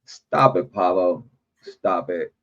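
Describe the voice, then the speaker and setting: Slow-talking man hosting a livestream. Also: low voice, animated, a bit bright, lo-fi sound. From Puerto Rico. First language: Spanish. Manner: rambling, chatty, drawn-out with fillers and cursing. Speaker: livestream host. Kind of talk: casual livestream chat.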